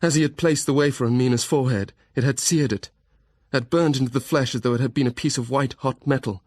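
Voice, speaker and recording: A man's voice: mid-range voice, fast, darkish tone, no echo. A man narrating a gothic story as a scripted dramatic reading.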